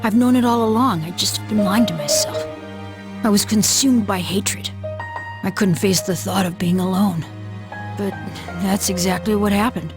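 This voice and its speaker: A woman voicing a solemn, grieving young boy in a video game, speaking earnestly and with measured care. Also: mid-range voice, close to an everyday voice. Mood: quiet resolve. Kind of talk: dramatic video game voice acting. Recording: loud music behind the voice. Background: music.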